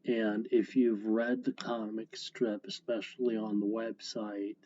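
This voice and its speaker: A man's voice: on the low side, level tone, a little slow, warm tone, slight background noise. A man hosting a casual solo podcast, rambling and correcting himself as he talks. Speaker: comic strip creator, podcast host. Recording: dull lo-fi sound, faint guitar.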